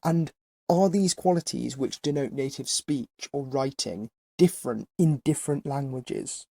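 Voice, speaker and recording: Teen male listener, polite and scripted question, mellow voice, dry recording. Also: medium pitch, measured pace, vocal fry, no echo. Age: teens.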